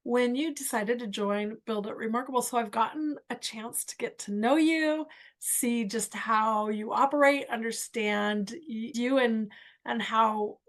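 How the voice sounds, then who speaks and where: A woman hosting a podcast interview, effusive and encouraging, with a slow, emphatic delivery. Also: fairly high pitch. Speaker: podcast host and mentor.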